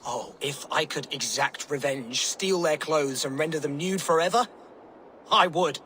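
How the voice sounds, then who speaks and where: An actor playing a flamboyant, fanatical preacher in a video game, pompous and florid, with a gleeful streak of vengeance. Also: male voice, fairly high pitch, unhurried, sharp tone, slight background noise. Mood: aggrieved, vengeful. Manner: pompous, grandiose, florid declamation. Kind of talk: theatrical video game character acting.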